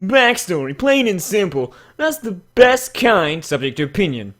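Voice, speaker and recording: A man voicing a stern, articulate critic who gives measured, formal judgements in a comic dramatic reading. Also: high voice, very animated, fairly bright tone, full character voice. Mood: measured, critical.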